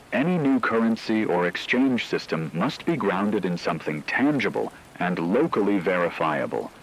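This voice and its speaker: A man playing a grave radio news broadcaster, reading a scripted bulletin in a solemn, measured and formal way. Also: deep voice, fairly bright tone, slight background noise, poor audio. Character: grave male radio broadcaster reporting societal collapse.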